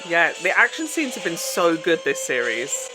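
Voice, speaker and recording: A reaction host giving casual, emotionally expressive commentary full of exclamations while watching a TV show. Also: mid-range voice, moderate pace, music in the background, low-quality recording.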